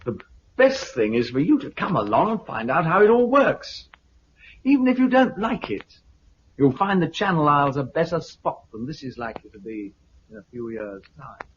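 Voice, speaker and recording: A man with a British accent playing a brisk, confident recruiter for a survivors' community in a 1950s radio drama. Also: fairly high pitch, very animated. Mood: persuasive. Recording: muffled, noisy 1950s radio recording.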